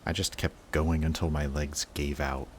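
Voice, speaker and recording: A man playing an emotional villain confessing to his longtime enemy in a dramatic audio roleplay, intense, halting and defensive. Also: a bass voice, little pitch movement, slight background noise. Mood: pained, confessing.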